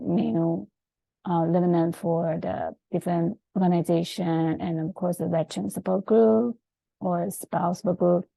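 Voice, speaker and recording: A middle-aged woman whose first language is Chinese, giving an informal presentation in a halting, hesitant way with many pauses. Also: lowish voice, gravelly, dark tone, no echo, low-quality recording. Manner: halting, hesitant, frequent pauses mid-sentence. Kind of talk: informal presentation with screen share.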